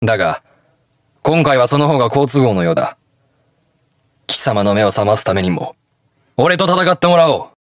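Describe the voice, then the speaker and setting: Game hero, male actor: stern young police detective in armor, gruff and defiant, very animated pitch, dry recording. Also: moderate pace, pauses often, no echo, lightly acted. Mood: stern, resolute. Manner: gruff, terse, defiant delivery. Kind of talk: video game battle voice lines in Japanese hero-show acting.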